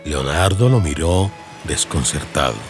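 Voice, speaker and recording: A man's voice: rumbling low, lively intonation, measured pace. A man with a Colombian accent giving dramatic, scripted narration for an audiobook in radio soap style. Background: music.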